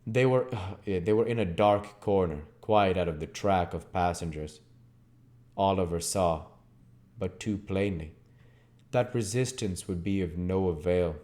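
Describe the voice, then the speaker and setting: A man's voice: low-pitched, unhurried, a little mellow. A man reading a classic novel aloud as a dramatic, emphatic narrator. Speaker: audiobook reader.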